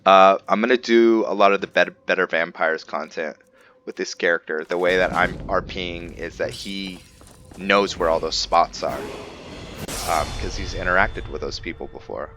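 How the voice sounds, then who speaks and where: A man's voice: deep voice, normal speed. A man giving casual, improvised game commentary, musing aloud to himself in a tentative way. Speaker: gaming video host. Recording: muffled, with clear background noise.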